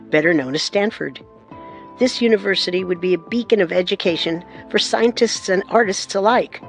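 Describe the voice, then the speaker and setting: A narrator delivering measured, deliberate scripted documentary narration. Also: mid-range voice, vocal fry.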